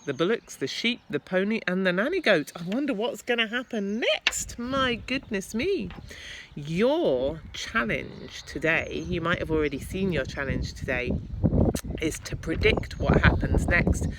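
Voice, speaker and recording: Female primary teacher, storyteller: slow, very animated delivery, dramatic emphasis, some background noise. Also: fairly low pitch. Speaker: primary school teacher reading aloud. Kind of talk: expressive children's story narration.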